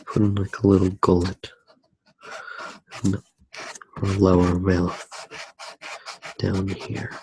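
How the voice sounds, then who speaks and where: A soft-spoken man narrating a drawing step by step in a hushed, unhurried ASMR style. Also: sits low, completely flat delivery, gravelly, slight background noise, rough recording.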